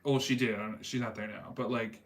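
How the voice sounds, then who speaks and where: A man's voice: mid-range voice, fairly even intonation, fairly quick. A man hosting a casual livestream chat, rambling in a talkative way with many fillers. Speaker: livestream host reading chat.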